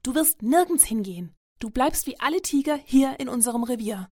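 A woman's voice: sits high, lively intonation, fairly quick, dark tone, dry recording, studio quality, voice pushed up. A woman voicing an angry mother tiger in a storybook, stern, snapping and commanding.

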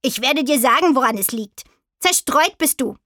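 A grumpy talking raven from a children's storybook, played by a woman. The voice is high and screechy with a croak in it, swooping up and down in sarcastic reproach. It is captured close and dry. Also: animated, normal speed, bright, forceful tone, no room sound, heavily acted. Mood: scolding.

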